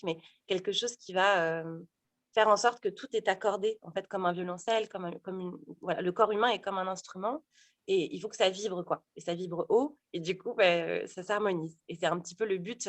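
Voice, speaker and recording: A woman's voice: fairly low pitch, no room sound. A woman in her thirties, a sophrologist and stage actor, talking casually in an interview with many fillers and restarts. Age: 30s.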